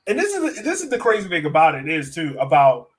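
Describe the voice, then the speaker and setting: A man hosting a sports podcast in a casual, animated, slangy and joking way. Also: on the high side, fast. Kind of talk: casual chat.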